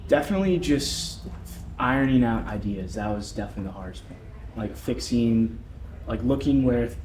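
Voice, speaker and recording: A man's voice: mid-range voice, expressive, moderate pace, darkish tone. Young male student, hesitant, echoey room, faint noise. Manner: hesitant, many fillers. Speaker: student interviewee. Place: event venue. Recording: roomy with faint background hum.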